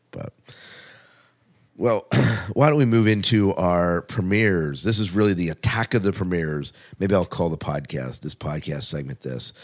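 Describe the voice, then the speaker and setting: A man with a low-pitched voice hosts a podcast in a casual, conversational style. He rambles informally as he reviews shows and freely shares his opinions. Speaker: podcast host.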